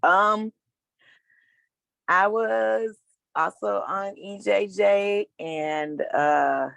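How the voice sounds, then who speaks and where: A man in his thirties giving a frank, casual personal testimony as a panelist, with slangy speech and hesitant pauses. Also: high-pitched, creaky, a bit bright, no room sound, muffled recording. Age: 30s.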